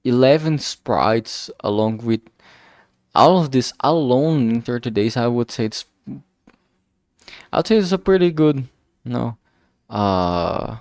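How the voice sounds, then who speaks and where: Slow, halting man in casual reflection. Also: medium pitch, expressive, a little mellow, dry recording, studio quality. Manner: halting, searching for words. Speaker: indie game developer streaming. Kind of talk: casual reflective stream talk.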